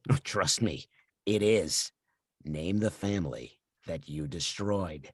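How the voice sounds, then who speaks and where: A man acts out a menacing slasher villain on a threatening phone call. The voice is very low and rough with husk, and it moves slowly and deliberately. It taunts with sinister control, recorded close and dry. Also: darkish tone, dry recording, full character voice. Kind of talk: slasher film table read.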